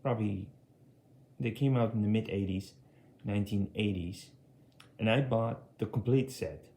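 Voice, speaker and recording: A middle-aged man from Europe giving a casual, unscripted walkthrough as a hobbyist, speaking haltingly and repeating words. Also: fairly low pitch, mellow. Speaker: audio hobbyist presenting his speaker collection. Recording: echoey room sound.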